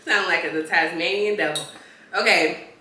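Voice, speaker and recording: A young woman with a stuffy, congested voice from a cold co-hosts a podcast, chatting in slang and calling her listeners y'all. Her tone is bright, and the very echoey room rings behind her. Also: fairly low pitch, moderate pace. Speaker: podcast co-host. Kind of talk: casual chat.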